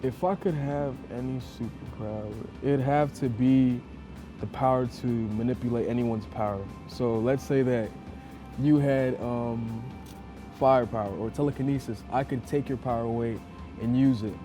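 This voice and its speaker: A young man answering interview questions in a casual, thoughtful way. He restarts his sentences and speaks at a medium pitch. Music plays clearly under his voice. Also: fairly even intonation, normal speed. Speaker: graduating student.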